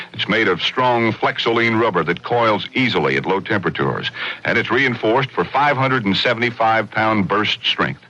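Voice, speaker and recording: A man's voice: fairly low pitch, bright, forceful tone. A man reading a scripted radio commercial as an announcer. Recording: dull, band-limited radio recording.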